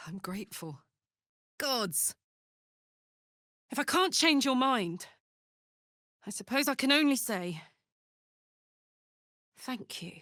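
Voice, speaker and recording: A woman's voice: on the high side, animated, takes it slowly, long pauses, bright, forceful tone, no echo, studio quality, full character voice. An actress voicing a brash, big-hearted young female warrior in a video game, blunt and swaggering, tough yet playful and emotionally open. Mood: heartfelt, somber. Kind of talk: video game character voice lines.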